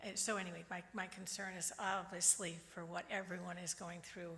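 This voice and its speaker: A woman from northern New Hampshire sharing concerns at a church service, halting with many pauses. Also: fairly low pitch, flat delivery, sharp tone. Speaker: congregant. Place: church sanctuary. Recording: long church reverb.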